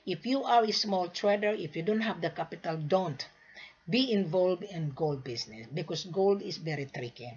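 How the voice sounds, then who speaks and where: A woman's voice: lowish voice, animated, moderate pace, darkish tone, breathy edge, lo-fi sound. A woman born in the Philippines, giving an emphatic, lecturing sales talk to traders and repeating her points. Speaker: gold sales agent. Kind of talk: direct-to-camera sales talk.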